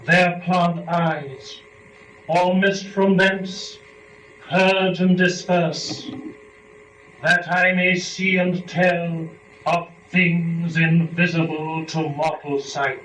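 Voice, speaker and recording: A man giving a dramatic recitation of classical epic verse in a solemn, measured, declamatory way. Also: high-pitched, little pitch movement, a bit bright. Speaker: dramatic reader of epic poetry. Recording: roomy, distant, faintly noisy recording.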